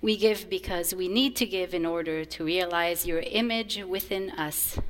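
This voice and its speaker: A woman whose first language is French, a choir director, gives a gentle children's message in church. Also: fairly low pitch. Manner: warm, simple storytelling to children. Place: church sanctuary. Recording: long reverb of a church sanctuary.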